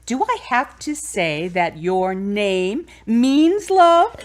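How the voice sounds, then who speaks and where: A woman acts a warm mother of a grown son in an audio drama. Her voice sits fairly high and moves expressively, at a slow, easy pace, with gentle motherly teasing. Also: very animated, full character voice.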